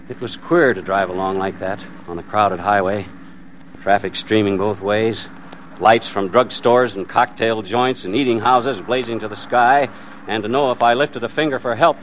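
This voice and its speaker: A man acts out a weary middle-aged driver narrating his own peril in a vintage radio thriller. His voice is low and plain-spoken, tense and colloquial. Faint music plays behind him. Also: natural voice, low-quality recording. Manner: plain-spoken, hard-boiled colloquial delivery. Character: tense, weary everyman husband, held hostage while driving. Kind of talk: first-person radio thriller narration and dialogue.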